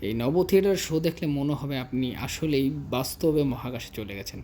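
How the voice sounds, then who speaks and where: A man's voice: medium pitch, animated, brisk, mellow, a little hiss or hum. A man with a Bangladeshi accent narrating a guided tour as a travel vlog host, explaining things directly to the viewers. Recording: music laid behind the voice.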